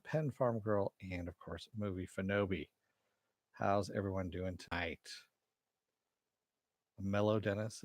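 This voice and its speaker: Chatty male stream host with a low voice and a close, dry home mic sound, rambling and talking back to his chat. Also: no echo. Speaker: show host reviewing a TV episode. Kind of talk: casual live-stream chat and recap. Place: home room. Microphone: headset mic with headphones.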